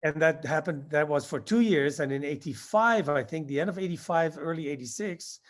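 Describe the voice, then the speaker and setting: A man in his sixties recalls decades-old events as an interview guest. His voice sits a little high, and he pauses and restarts often as he searches his memory. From Indonesia. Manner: reminiscing, halting with many fillers. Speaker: guest, skydiving instructor. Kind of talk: interview answers.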